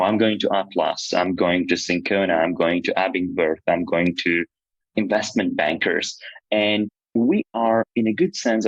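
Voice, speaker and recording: Very low-voiced young man from Slovakia. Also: no room sound, dull audio. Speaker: PhD student and nonprofit co-director. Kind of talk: interview answers.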